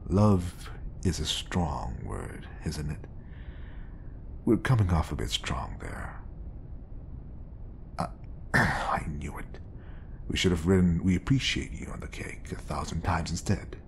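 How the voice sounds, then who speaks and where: A man's voice: expressive, slow, long pauses, slight background noise, full character voice. A man playing an obsessive, lovestruck bodyguard in an audio roleplay, coaxing and intimate, passing devotion off as professionalism. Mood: sheepish. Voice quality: low, creaky. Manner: coaxing, intimate, slipping into whispers. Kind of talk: audio roleplay acting addressed to the listener.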